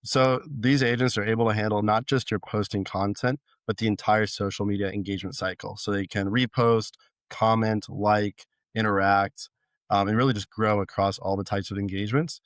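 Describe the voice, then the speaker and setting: Man, low voice, dry sound, co-founder, steady explaining. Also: normal speed, no echo. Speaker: startup co-founder, guest. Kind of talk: casual podcast interview.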